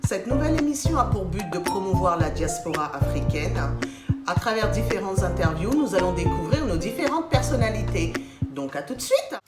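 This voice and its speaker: A woman's voice: mid-range voice, big pitch swings, normal speed, loud music behind the voice. A woman hosting a casual interview show, chatting and prompting her guest with short acknowledgements. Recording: echoey room with faint background noise.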